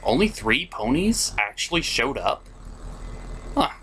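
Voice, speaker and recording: A male review show host with a lively, swinging pitch. He narrates in a sarcastic, theatrical comedy style, with faint noise behind him. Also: measured pace. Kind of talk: comedic review, part scripted, part ad-libbed.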